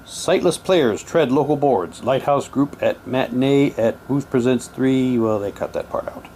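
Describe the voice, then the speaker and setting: Man, casual unboxing presenter, halting read-aloud, muttered asides, average pitch, faint background noise. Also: dark tone, poor audio. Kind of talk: casual unboxing, reading old newspaper props aloud.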